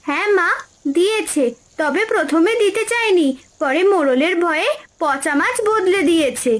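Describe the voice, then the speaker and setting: A woman as a very high-voiced kind little girl. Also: expressive, normal speed. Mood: eager. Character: kind young village girl, helpful and eager. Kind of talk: children's cartoon voice acting.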